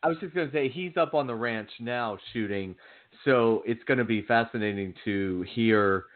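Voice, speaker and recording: A man's voice: mid-range voice, normal speed. A man co-hosting a casual talk show, chatty, asking long rambling questions. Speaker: co-host. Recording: tinny phone line.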